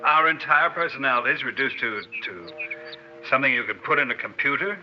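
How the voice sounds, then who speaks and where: Actor playing embittered man, agitated, emphatic, lively intonation, vintage radio drama, muffled recording. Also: mid-range voice, a little fast, slight background noise, natural voice. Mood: bitter, indignant. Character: intense, embittered non-scientist man with a grudge. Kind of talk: 1960s radio drama acting.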